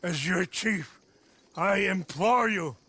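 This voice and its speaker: An actor playing an old chief and father, speaking with solemn, measured pleading for his people in a video game. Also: sits high, lively intonation, pushed and bright, slight background noise, heavily acted. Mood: solemn, weary. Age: older.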